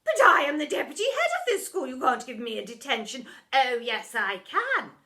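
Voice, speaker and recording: A woman voicing a furious, domineering, half-mad deputy head teacher in a storybook, barking and shouting her orders. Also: high-pitched, very animated, voice pushed up, heavily acted. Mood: indignant, bossy. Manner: shouting, barking, drawn-out commanding delivery.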